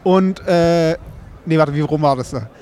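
A man's voice: on the high side, a little slow, dark tone. A young man in casual banter, rambling along in a joking, conversational way. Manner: joking, loose rambling storytelling.

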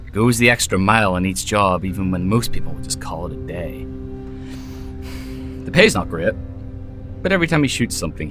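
A world-weary mercenary with a low voice, wry and sardonic, haunted by a lost love. He talks like someone telling his own story at a remove, in an intimate audio drama with guitar music underneath. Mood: resigned. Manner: sardonic, storytelling about himself in third person. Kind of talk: audio drama acting, conversational monologue. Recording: music behind the voice.

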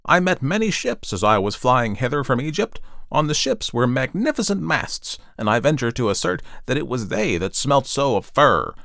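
A man voicing a musing, self-important male stork in a storybook, measured and pompous. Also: medium pitch, big pitch swings, gravelly, fairly bright tone, dry recording, clean studio sound, pitched above the performer's own voice.

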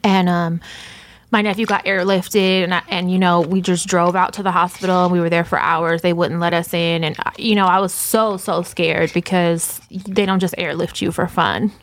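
A woman's voice: on the low side, level tone, normal speed. A middle-aged woman, co-hosting a podcast in frank, profane casual chat with lots of fillers. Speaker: podcast co-host.

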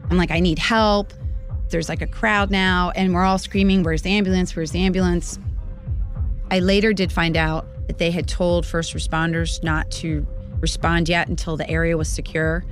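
A woman's voice: lowish voice, level tone, moderate pace, faint music behind. A woman, a nurse, recounting a frightening event in an interview, telling it vividly with quoted dialogue. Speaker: nurse and witness.

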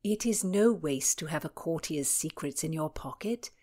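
A woman voicing Philomena, a sharp-witted, scheming Tudor lady who speaks with brisk confidence. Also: deep voice, expressive. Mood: unconcerned.

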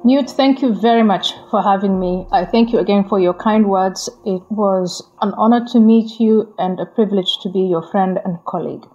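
Calm woman with a mellow voice. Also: medium pitch, normal speed, hardly any pauses, lo-fi sound, soft background music. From Somalia. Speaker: guest. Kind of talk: interview answers.

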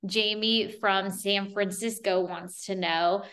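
A woman's voice: medium pitch, fairly even intonation, slow pace, fairly bright tone. Female podcast host with mid-range voice. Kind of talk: interview questions, reading listener questions.

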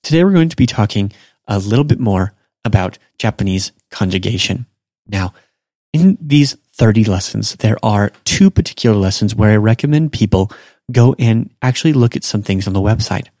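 A man's voice: fairly low pitch, lively intonation, moderate pace, dark tone, no room sound. A man hosting a podcast lesson, explaining step by step and repeating each example in a casual, self-joking way. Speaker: Japanese language teacher and podcast host.

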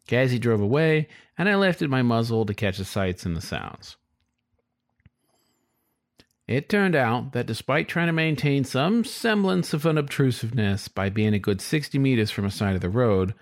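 A man's voice: on the low side, no room sound, studio quality. A man reading a scripted first-person story as its narrator. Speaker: story reader.

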